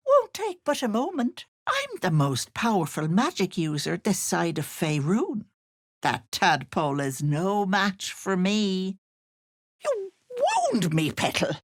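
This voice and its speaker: A woman's voice: on the low side, sing-song, slow, no echo, heavily acted. Sly old witchy auntie for a video game, sugary but menacing, drawn out n swooping all over, super dry sound. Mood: sugary, scheming. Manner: cooing endearments laced with menace. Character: sly, syrupy older auntie witch and potion seller. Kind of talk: video game character voice lines.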